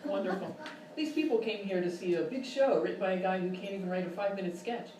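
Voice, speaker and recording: A woman's voice: medium pitch, fast talker, warm tone. A woman doing dry, sarcastic scripted banter as a live sketch comedy performer. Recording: echoey live stage, distant mic, faint noise.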